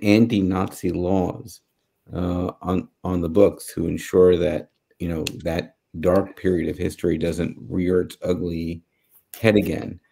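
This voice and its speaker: A middle-aged man, explaining in a measured way as a panelist on a video call. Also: sits low, little pitch movement, gravelly, mellow, husky. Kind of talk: casual panel discussion. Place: home office.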